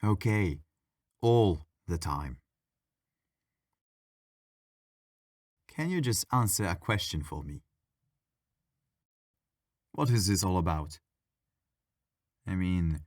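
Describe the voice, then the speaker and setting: A man playing a friendly, bored, mischievous ghost in an intimate ASMR audio roleplay, talking in a playful, wry, gently argumentative way. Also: on the low side, expressive, a little slow, pauses often, no room sound, studio quality. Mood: playfully argumentative.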